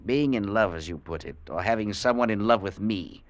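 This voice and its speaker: An actor playing a detached, cold professor in an old-time radio drama, speaking in a dry, clipped way. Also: male voice, fairly low pitch, fairly quick, close to an everyday voice. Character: cold aloof older male professor, nervous.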